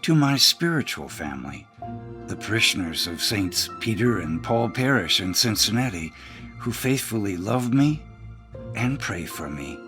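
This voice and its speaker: A man's voice: lowish voice, big pitch swings, unhurried, music in the background. A man, a priest, reading a scripted audiobook narration.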